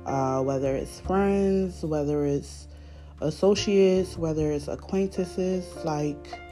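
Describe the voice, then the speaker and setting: A woman with a Baltimore accent hosting her podcast, talking freely with a raspy voice from a recent illness, rambling with fillers and restarts. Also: sits low, takes it slowly, dark tone, faint music behind. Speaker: podcast host. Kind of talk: unscripted casual monologue.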